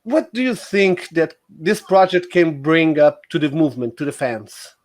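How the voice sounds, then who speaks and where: A man hosting a livestream, putting questions to a guest in a casual, halting way. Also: a little high. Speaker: livestream host, interviewer. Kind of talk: casual interview chat.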